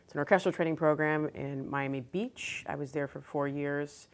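A woman in her thirties from Florida speaks in a low, friendly, matter-of-fact voice. She is a guest on a studio talk show and is recorded on a lapel mic. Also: fairly even intonation, normal speed. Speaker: guest horn player. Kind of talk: casual interview. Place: TV studio set.

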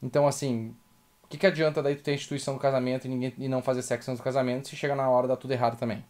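A man's voice: mid-range voice, level tone, rapid-fire, a little mellow. A man with a southern Brazilian accent chatting casually and bluntly as co-host of a livestream. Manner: blunt, colloquial. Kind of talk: casual commentary chat. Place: small home room indoors, webcam livestream.

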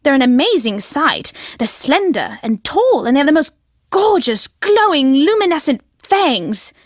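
A woman's voice: high-pitched, big pitch swings, unhurried, no room sound, studio quality. An actress voicing a secretive woman in a video game who believes fervently in ancient aliens. She speaks in a curt, cryptic manner that turns fervent. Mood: dreamy. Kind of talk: recorded game voice lines.

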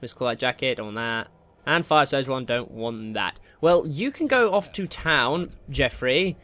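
A man's voice: medium pitch, animated, unhurried, fairly bright tone. A man hosting a gaming video with casual Let's Play commentary, talking to himself in jokey, slangy asides. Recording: game music behind the voice. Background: video game music.

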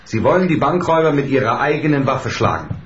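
An actor playing a businesslike, level-headed racing team member, explaining things in a measured way in a radio play. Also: male voice, medium pitch, expressive, lightly acted. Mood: serious, explaining. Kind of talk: German radio play acting. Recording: echoey, slightly dull room.

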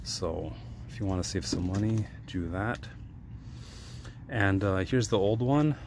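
A man's voice: low voice, noisy background. A man casually walking through a DIY plumbing job on his phone camera, with lots of ums and uhs. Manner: unhurried, many ums and uhs, hands-on explaining. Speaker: DIY repair vlogger. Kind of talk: casual how-to walkthrough. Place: indoors, cramped space under a sink. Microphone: handheld phone camera, first-person view.

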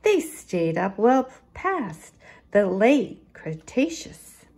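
A woman reading a children's picture book aloud as a storytime librarian, expressive and deliberate, leaning into the rhymes and rhythm. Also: medium pitch.

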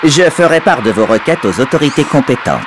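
A man's voice: medium pitch, very animated, fairly quick, close to an everyday voice. Man doing a proper polite little robot, mid voice, game music under it. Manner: polite, formal. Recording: game audio with music bed.

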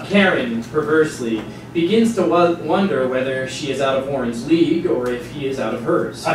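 A man's voice: mid-range voice, moderate pace. Wry male narrator, distant and echoey. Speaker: narrator in a stage reading. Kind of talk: scripted literary narration, performed live. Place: small indoor theatre stage before an audience. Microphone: far camera. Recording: distant, very echoey, noisy live stage recording.

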